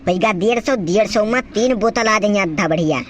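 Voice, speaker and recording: A man's voice: high-pitched, brisk, fairly bright tone, slight background noise. A man voicing a boisterous, scheming village drunkard in a comedy cartoon, talking in blustery slang with a rural Uttar Pradesh accent. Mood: blustery haggling. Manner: colloquial, haggling, blustery. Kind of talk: amateur comedy cartoon dialogue.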